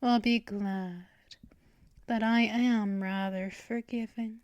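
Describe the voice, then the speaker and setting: A woman voicing a possessive female vampire mistress in an ASMR roleplay, talking in soft, teasing whispers up close to the mic. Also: medium pitch, fairly even intonation, dry recording, dull audio, lightly acted. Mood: soothing. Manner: slow, drawn-out delivery.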